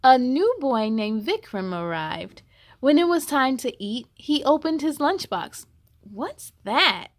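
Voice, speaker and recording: A woman reading a children's picture book aloud in an expressive, encouraging way, as if speaking directly to young listeners. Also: sits high, unhurried. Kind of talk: children's read-aloud storytelling.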